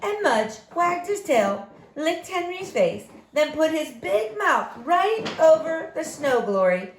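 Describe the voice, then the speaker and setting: A woman's voice: high-pitched, sing-song, takes it slowly, slight background noise. A woman reading a picture book aloud expressively to young listeners. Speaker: story reader for children. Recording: echoey room.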